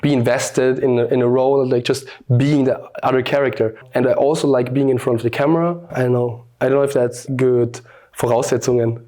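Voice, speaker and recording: A man's voice: mid-range voice, fairly even intonation, brisk, warm tone. A young man from Austria with an Austrian German accent, a German speaker chatting easily as an interview guest. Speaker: guest influencer. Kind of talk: casual interview chat.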